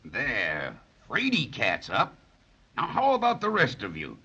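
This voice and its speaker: An actor playing a kindly, gentle giant with weak eyes in an old radio drama, folksy and slow. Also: male voice, mid-range voice, huge pitch swings, heavy background noise. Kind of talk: 1930s radio drama acting. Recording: dull old radio recording.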